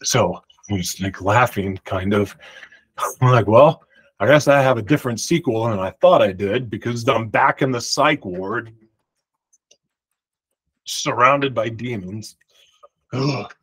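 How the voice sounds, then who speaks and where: A man in his forties telling a rambling personal story to camera in a room at home, talking emphatically and projecting loudly. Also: medium pitch, a little slow, pauses often, breathy edge. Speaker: vlogger. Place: home room with pictures on the walls. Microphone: large studio mic beside him.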